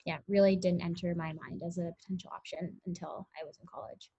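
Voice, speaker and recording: A woman's voice: fairly low pitch, fairly even intonation, a little fast, mellow, breathy edge. Chatty young woman, muffled audio. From Connecticut, US. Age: 20s. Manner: chatty, many ums and likes. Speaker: interview guest, tech startup founder. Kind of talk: casual interview answers.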